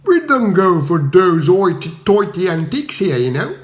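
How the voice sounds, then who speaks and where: A man's voice: sits high, big pitch swings, moderate pace, reverberant, voice pushed up. A man voicing rowdy, indignant Cockney commoners who heckle in angry slang.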